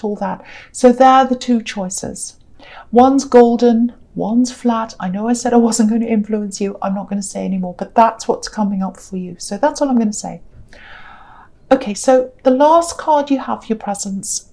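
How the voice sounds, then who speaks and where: A tired woman with a croaky voice giving a gentle, reassuring tarot reading in a casual, rambling way. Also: mid-range voice. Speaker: tarot reader.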